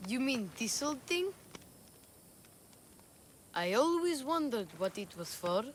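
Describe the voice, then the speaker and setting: A woman voicing an excitable young boy. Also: high voice, slow pace, background noise, heavily acted. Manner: excitable, interrupting. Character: eager young boy searching for his father. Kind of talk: video game cutscene acting.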